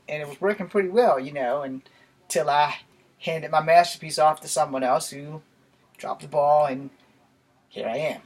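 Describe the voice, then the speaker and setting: An American man in his forties giving a rambling, casual vlog talk to camera in a small bedroom. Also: on the high side. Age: 40s. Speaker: YouTube vlogger. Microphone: camera a few feet away. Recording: noisy home camera recording with clicks.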